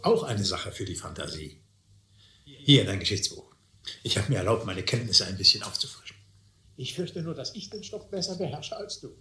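An actor playing a wry, educated guardian in a radio play, articulate, ironic and mock-stern. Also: male voice, fairly low pitch, very animated, moderate pace, bright, forceful tone, heavily acted. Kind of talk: German radio play dialogue. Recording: echoey, slightly noisy audio drama recording.

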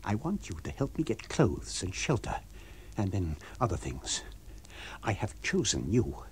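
A man voicing a menacing, imperious villain in an audiobook, commanding and threatening with quiet emphasis. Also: fairly low pitch, sing-song, unhurried, a little hiss or hum. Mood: intense urging. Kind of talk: audiobook character voice.

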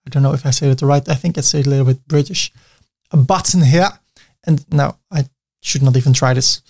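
A man's voice: medium pitch, fairly even intonation, fairly quick, vocal fry, darkish tone. Dutch-accented man teaching web design. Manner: chatty, self-mocking asides. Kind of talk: screen-recorded software tutorial.

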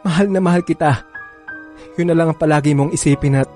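A man's voice: on the high side, brisk, close to an everyday voice. A man acts out an evasive married lover in a Filipino radio drama. He pleads and placates, defensive and emotional, over faint background music. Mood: soothing, earnest.

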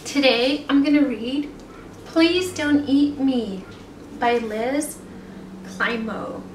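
A woman's voice: high-pitched, slow pace. A woman in her thirties reading a picture book aloud to children and commenting on it. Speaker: children's storybook reader. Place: indoor room with bare wall. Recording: echoey room, distant mic, some noise.

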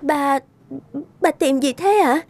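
A woman's voice: sits high, slow, darkish tone, a little hiss or hum. A woman voicing a timid, anxious young housemaid in a cartoon, polite and hesitant. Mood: uneasy. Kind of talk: cartoon voice acting. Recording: faint music behind the voice.

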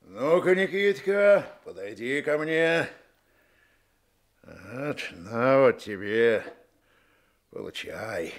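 An actor playing a gruff but fond father in a radio play, folksy and teasing. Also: medium pitch, sing-song, extremely slow, long pauses, heavily acted. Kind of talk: Soviet radio play acting.

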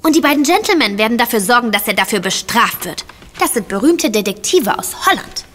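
A woman's voice: fairly high pitch, expressive, a little fast, fairly bright tone, a little hiss or hum. An actress playing a bitter, outspoken woman of a household in a radio play. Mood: indignant. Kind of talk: German radio play acting.

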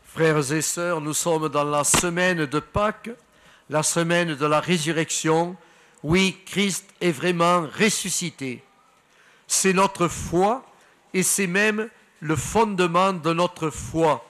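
An older man, a priest, preaching slowly and solemnly to the faithful at an outdoor shrine. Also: on the high side, fairly bright tone. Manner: slow, solemn, addresses the faithful. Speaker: priest leading the rosary. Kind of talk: prayer, hymn and short homily. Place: outdoor shrine grotto before a crowd. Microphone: headset mic and lectern mic. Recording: roomy outdoor sound with crowd ambience.

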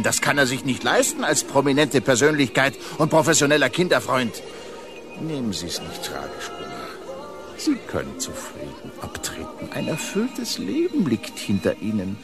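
An actor playing a cynical contract killer in a radio drama, mockingly polite and menacing. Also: male voice, mid-range voice, very animated, normal speed, music in the background. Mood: mocking menace. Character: cynical smooth-talking male contract killer in Santa guise. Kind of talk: German radio drama acting.

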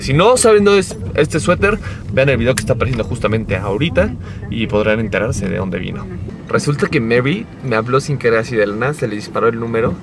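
A young Mexican man chatting casually to his vlog in a playful, animated storytelling way while driving. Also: medium pitch. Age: young adult. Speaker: vlogger. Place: inside a moving car. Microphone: camera mounted on the dashboard. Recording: car cabin with clear road noise.

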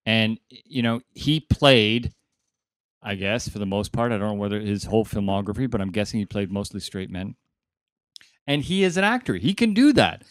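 A man's voice: on the low side, lively intonation, normal speed, clear, resonant tone, no echo, studio quality. A man talking as a podcast panelist in a casual debate among friends, making his points in an emphatic, discursive way.

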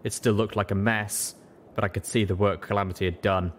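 A man's voice: lowish voice, fairly even intonation, fairly quick, a little hiss or hum. A man reading scripted story narration as an audiobook narrator. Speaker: audiobook reader.

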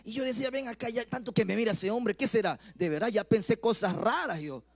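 High-voiced man, Ecuadorian accent, middle-aged pastor, animated storytelling sermon, handheld mic on stage. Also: fairly quick. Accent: Ecuadorian Spanish. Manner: animated storytelling, acting out dialogue, repeating phrases. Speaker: pastor preaching. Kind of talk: sermon with personal anecdotes. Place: church stage, indoors. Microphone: handheld microphone.

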